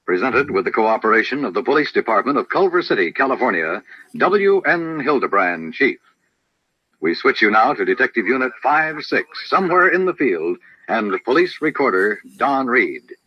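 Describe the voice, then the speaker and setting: A dull, dry vintage radio recording of an American man announcing a program. His husky voice swings widely in pitch as he declaims each phrase with dramatic emphasis. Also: sing-song, normal speed, no echo.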